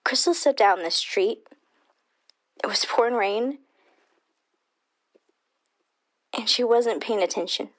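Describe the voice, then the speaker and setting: A shaken female eyewitness played by an actress with a fairly high voice. She tells her story casually and chattily, as in a radio drama interview. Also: normal speed, natural voice. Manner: casual, filler-laden storytelling.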